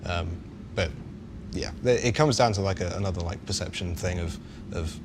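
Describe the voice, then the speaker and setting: A man's voice: sits low, normal speed, background noise. A man chatting on a panel as a game developer, explaining things in a casual, hesitant way.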